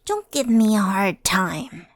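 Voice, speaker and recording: A woman's voice: mid-range voice, lively intonation, takes it slowly, dry recording, studio quality. A woman voicing an earnest, secretive yokai sorceress in an ASMR roleplay, speaking in a hesitant, confessional, whispery voice. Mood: defensive, pleased. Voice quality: breathy, creaky, whispery. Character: earnest, secretive female yokai sorceress, devoted to her friend.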